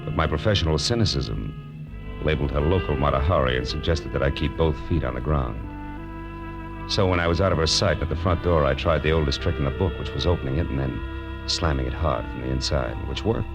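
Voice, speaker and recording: A man's voice: rumbling low, a little fast, vocal fry. An actor playing a wry, tough-talking American private detective, narrating his case in 1940s radio drama style. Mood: wry, tense. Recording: vintage radio drama with music underneath.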